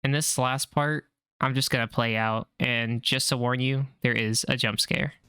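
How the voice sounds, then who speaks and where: A man's voice: mid-range voice, steady tone, normal speed, sharp tone, clean tone, no room sound. A man narrating explainer commentary on horror videos.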